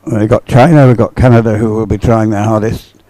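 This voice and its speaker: A man giving live race commentary, explaining things in halting phrases. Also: on the low side, steady tone, warm tone, no echo.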